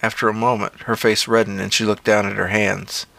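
A man's voice: on the low side, little pitch movement, fairly quick, gravelly, pushed and bright. A man narrating a scripted first-person story as an audio fiction reader.

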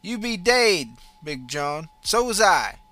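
A sly, teasing elderly ghost woman, put on by a man speaks in a high, strained register with a Louisiana Creole drawl. She sounds forceful and bright, and faint music plays behind her storybook scene. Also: very animated, takes it slowly, dry recording, exaggerated voice. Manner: drawling dialect, chuckling.

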